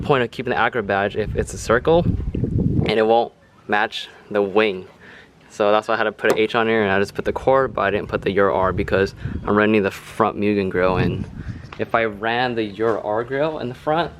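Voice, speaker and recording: A man's voice: fairly low pitch, noisy background. A man in his late twenties chatting casually to his vlog audience, rambling and laid-back with plenty of fillers. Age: 20s. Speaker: car vlogger.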